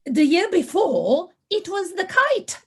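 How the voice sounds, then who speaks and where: A woman's voice: extremely high pitch, big pitch swings, takes it slowly, full character voice. A woman playing a timid, anxious and polite little meadow animal in an amateur audio play, hesitant and flustered. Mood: puzzled concern.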